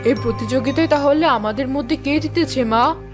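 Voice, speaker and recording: A smug young wife of the household, voiced by a woman, talks in a high voice. She is boastful, competitive and mocking, in fairy-tale cartoon style, with light music underneath. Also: normal speed, lightly acted. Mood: eager. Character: boastful, competitive eldest daughter-in-law.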